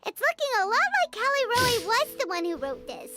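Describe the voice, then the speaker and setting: A woman voicing a tiny, chirpy fairy girl companion in a video game, squeaky, childlike and cutesy. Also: very animated, brisk, heavy background noise. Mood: curious. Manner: cutesy, speaks of herself in third person. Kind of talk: English video game voice acting. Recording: loud game music behind.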